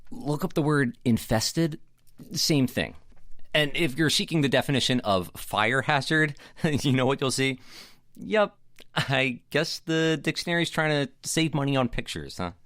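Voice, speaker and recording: An irrepressible young theater dreamer with a middle-pitched voice that moves around a lot. He is playful and glib, forever upbeat, in a close, dry audio drama recording. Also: animated, a little slow, a bit bright, no echo. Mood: wry, determined. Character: Sam, charming irrepressible young theater dreamer, eager optimist.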